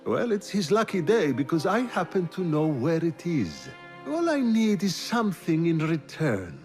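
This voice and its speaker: An actor playing a sly, self-assured informant in a video game, with an insinuating, bargaining manner. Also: male voice, on the high side, wildly swooping pitch, unhurried, soft background music, exaggerated voice. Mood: sly, wheedling. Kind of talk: video game cutscene acting.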